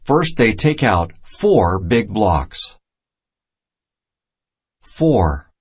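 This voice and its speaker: Male narrator for a kids' educational storybook: deliberate, unhurried read, animated intonation, clearly separated phrases. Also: lowish voice. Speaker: narrator of a children's math storybook. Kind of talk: scripted read-aloud narration, simple and instructional.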